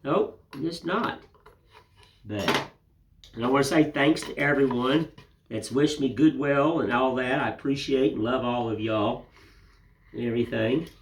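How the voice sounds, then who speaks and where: An older man with a raspy, hoarse voice from a cold, chatting casually and rambling as he hosts his woodcarving channel. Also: medium pitch, measured pace, pauses often, slight background noise. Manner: rambling, homespun, folksy filler phrases. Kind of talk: casual chat to camera.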